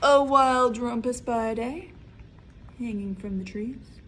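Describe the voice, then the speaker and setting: A woman reading a picture book aloud to young listeners, theatrically, with dramatic hushes and drawn-out words. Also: fairly high pitch, a little hiss or hum. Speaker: children's read-aloud storyteller.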